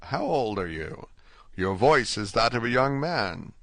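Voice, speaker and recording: A guarded older prisoner speaks low and deliberately, weighing every word, as a man puts him on. The sound is dry and close to the mic. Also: animated, no echo. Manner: hushed, deliberate. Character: older male prisoner, cautious and measured. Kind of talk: audiobook reading.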